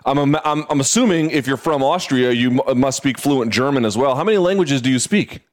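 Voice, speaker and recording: A man hosting a podcast interview, conversational and quick to exclaim in amazement. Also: mid-range voice, expressive. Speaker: podcast host.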